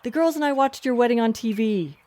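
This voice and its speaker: A woman's voice: on the high side, fairly quick, darkish tone, natural voice. An actress playing a fussy, genteel middle-aged suburban mother in an audio drama, gushing and mannered. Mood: gracious, fussy. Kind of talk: scripted audio drama acting.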